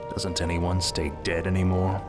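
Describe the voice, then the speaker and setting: An actor playing a stern, imperious undead death knight in a game cinematic, impatient and commanding. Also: male voice, deep voice, little pitch movement, normal speed, lightly acted. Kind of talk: video game cinematic acting. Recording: loud music behind dialogue.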